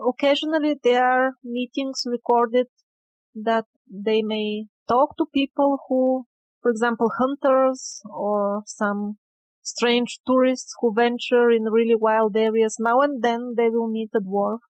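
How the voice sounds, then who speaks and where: A woman with a fairly high voice talks as a guest in an interview. She goes very slowly and conversationally, feeling for her words. The recording is dry and close, with little room sound. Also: steady tone, darkish tone, dry recording, poor audio.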